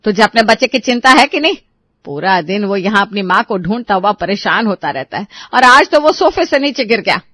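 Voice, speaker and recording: A woman's voice: a little high, brisk, dry recording, studio quality, lightly acted. A woman voicing an irritable, scolding older mother-in-law and grandmother in a dramatised story. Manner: sharp, nagging, indignant.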